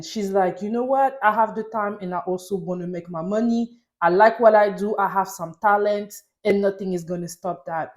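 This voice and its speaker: A woman's voice: mid-range voice, darkish tone. A woman from Paris with a French accent, speaking English as a second language, gives a casual, chatty and enthusiastic recap as a video host. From Paris, France. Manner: chatty, enthusiastic fan talk. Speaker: YouTube host reviewing reality TV.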